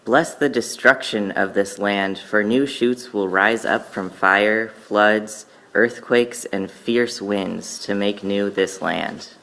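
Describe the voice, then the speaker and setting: A man reciting poetry at a worship service in a solemn, measured, incantatory way. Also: on the low side, vocal fry, a bit bright, rough recording.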